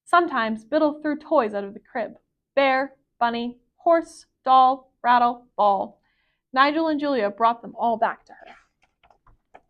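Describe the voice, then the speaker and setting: A woman reading a children's picture book aloud as a library storyteller, with expressive, careful phrasing. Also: high voice, slow, highs cut off.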